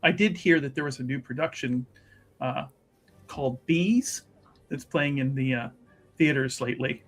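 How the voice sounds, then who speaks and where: A man's voice: medium pitch, moderate pace, fairly bright tone, pitched below the performer's own voice, lightly acted. A man voicing a chipper, sly, well-mannered female investigator in a tabletop game, speaking in polite, roundabout phrases. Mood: polite, coaxing.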